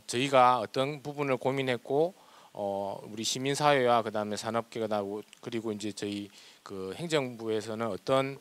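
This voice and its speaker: A man with a South Korean regional accent, presenting formally as a government official in a nervous, hesitant way. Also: medium pitch. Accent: South Korean regional dialect.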